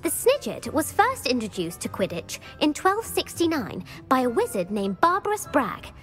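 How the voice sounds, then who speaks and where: A bookish, eager young student. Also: female voice, sits high, wildly swooping pitch, normal speed, soft background music. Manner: eager and lecturing, bursts of praise. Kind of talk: video game voice acting.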